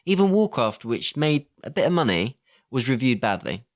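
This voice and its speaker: A man's voice: medium pitch, big pitch swings, moderate pace. A man co-hosting a film podcast, leading a casual discussion in a conversational, questioning way. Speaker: podcast co-host.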